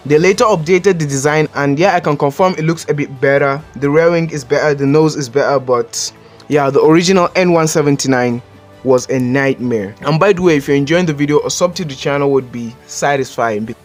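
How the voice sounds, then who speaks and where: A man's voice: a little high, brisk, faint music behind. A man with a Nigerian accent, hosting a casual countdown and giving blunt, joking opinions as a voice-over. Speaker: channel host. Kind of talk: casual list commentary.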